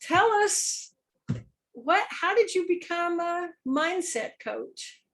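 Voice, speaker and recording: A woman hosting a parenting podcast, opening the show and interviewing a guest over a video call, deliberate and stretching key words. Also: very high-pitched, expressive, fairly bright tone. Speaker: podcast host.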